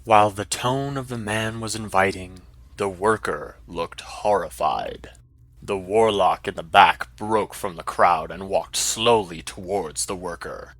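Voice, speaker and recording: A man's voice: low voice, unhurried, a bit bright. A man narrating a scripted fantasy story in a dramatic style.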